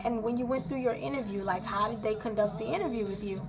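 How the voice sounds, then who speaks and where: A woman's voice: fairly high pitch, fast talker, music in the background. A woman born in Haiti and raised in South Florida, a nurse, venting bluntly and frankly in a rambling casual vlog.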